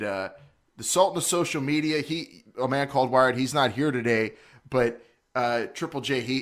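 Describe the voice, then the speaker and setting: A man's voice: mid-range voice, fairly bright tone. Joking, rambling male podcast host. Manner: playful, joking, rambling with restarts. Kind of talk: casual wrestling talk.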